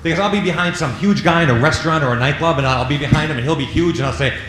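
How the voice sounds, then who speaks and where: A man's voice: a little high, very fast, a bit bright. Jokey stand-up comic man, echoey club. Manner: jokey, drops into silly voices and sound effects. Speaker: stand-up comedian. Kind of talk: stand-up comedy set. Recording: echoey club with audience noise.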